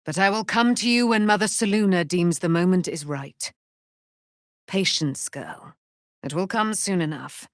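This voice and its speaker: Casting: actress for a proud immortal female warrior of divine birth in a fantasy game, declamatory and archaic, measured pace, close dry sound. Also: mid-range voice, no echo, close to an everyday voice. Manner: grandiose, archaic, declamatory diction. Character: proud immortal warrior daughter of a moon goddess. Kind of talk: theatrical fantasy game acting.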